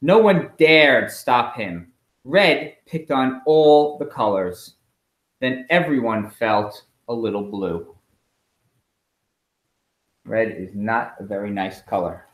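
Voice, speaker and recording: A man reading a picture book aloud to children in an expressive, emphatic storytelling way, as a school principal at home. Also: mid-range voice, takes it slowly, long pauses. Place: at home, indoors. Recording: roomy, echoey home recording.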